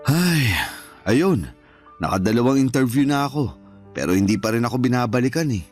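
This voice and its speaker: A man acts out a middle-aged Filipino father in a radio drama. He sounds devoted and reassuring, talking affectionately in a medium-pitched voice. Music plays softly underneath. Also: expressive, moderate pace, close to an everyday voice. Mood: reassuring, earnest. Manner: affectionate, encouraging, conversational.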